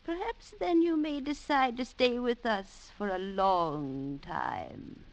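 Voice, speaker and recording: An actress playing a mature mother in a 1940s radio drama, gracious and insinuating with veiled menace. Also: fairly high pitch. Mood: silky menace. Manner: deliberate, silky, insinuating. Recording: dull vintage radio recording.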